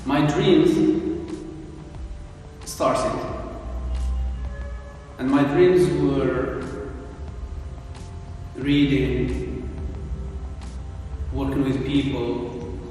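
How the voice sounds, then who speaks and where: Slow-spoken Algerian man in an echoey room. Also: medium pitch, fairly even intonation, a little mellow, faint music behind. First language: Arabic. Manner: slow, measured, with many pauses and restarts. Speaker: English teacher, guest. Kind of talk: interview answers. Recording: echoey room, from far off, faint hiss.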